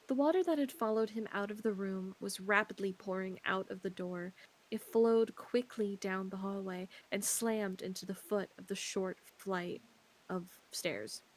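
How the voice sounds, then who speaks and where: A woman's voice: mid-range voice, measured pace, rough recording. A woman co-hosting a visual novel stream, reading narration aloud with casual, sweary asides. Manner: informal, sweary.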